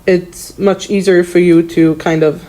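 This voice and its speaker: A woman teacher whose first language is Arabic talks through her thoughts in English, hesitating on fillers along the way. Her voice sits low and sounds soft and mellow. She speaks in a relaxed discussion. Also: a little slow, rough recording. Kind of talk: casual discussion.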